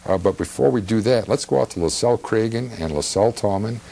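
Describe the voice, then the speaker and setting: An older man with an American accent hosting a talk show in a casual, folksy, slightly halting way. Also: lowish voice, creaky, dark tone. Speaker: television talk show host. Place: television studio.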